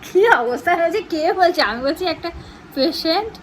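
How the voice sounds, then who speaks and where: A woman's voice: very high-pitched, lively intonation, brisk. A middle-aged woman with a West Bengal accent chatting casually for a home vlog, rambling and rephrasing as she goes. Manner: rambling, repeats and rephrases herself. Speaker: family vlogger. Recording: clearly noisy home recording.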